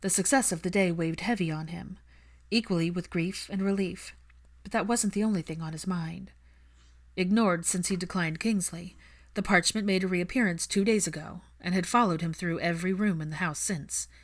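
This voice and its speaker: Low-voiced woman narrating fiction. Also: moderate pace. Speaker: audiobook narrator.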